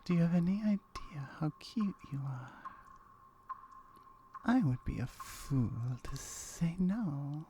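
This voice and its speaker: A woman voicing a lonely, wary gorgon with slyly flirtatious murmurs and drawn-out syllables, in an ASMR roleplay. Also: low voice, expressive, dark tone, a little hiss or hum. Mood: teasing, intrigued.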